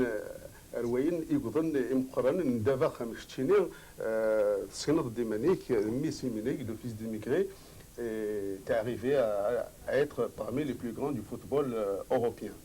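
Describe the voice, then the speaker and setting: A man's voice: mid-range voice, unhurried, warm tone, a little hiss or hum, rough recording. A man interviewing a former footballer, asking long, hesitant, rambling questions.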